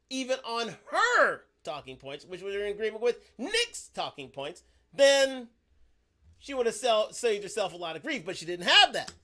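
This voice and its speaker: A man's voice: high voice, a bit bright. A man hosting a livestream and introducing a clip. He hesitates often, restarts his sentences and keeps saying "again". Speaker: stream host.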